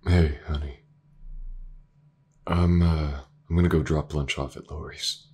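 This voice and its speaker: A man playing a gentle, reassuring detective in an intimate audio roleplay, speaking softly and hesitantly, often near a whisper. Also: very deep voice, steady tone, a little slow, slightly rough, full character voice. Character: gentle, reassuring male detective with an injured eye.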